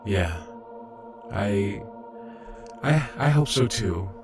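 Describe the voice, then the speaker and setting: An actor playing an earnest, modest young robot apprentice medic in an audio drama, reflective and a little hesitant. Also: male voice, fairly low pitch, animated, drawn-out words, music in the background. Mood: hesitant, respectful. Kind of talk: audio drama acting and narration.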